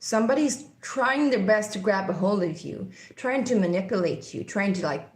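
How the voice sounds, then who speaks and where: Swedish-accented woman, casual tarot reader, meandering and repetitive, mid pitch, roomy echo. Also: animated, normal speed. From Sweden. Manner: meandering, intuitive, repeats key phrases. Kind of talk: casual tarot card reading.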